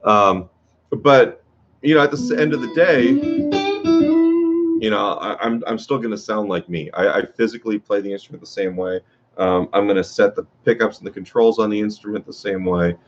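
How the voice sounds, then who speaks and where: A man teaching guitar in a casual workshop talk, with a low voice and a rambling way of speaking, full of fillers and restarts. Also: sing-song, moderate pace. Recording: dull lo-fi sound.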